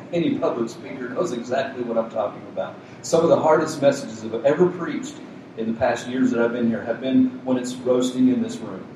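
A man's voice: medium pitch, a little mellow, far from the mic. A man pastor with a soothing voice delivers a sermon in a conversational, lightly joking way. His voice sounds very echoey and set back from the mic, with a faint noise under it. It was recorded in a community center meeting room. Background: faint hum of a cooling unit.

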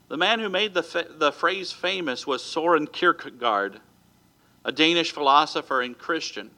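Man, American pastor, bright edge, earnest sermon delivery with occasional restarts. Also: a little high. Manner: earnest preaching, some restarts and stumbles. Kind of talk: sermon ending in prayer. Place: church sanctuary.

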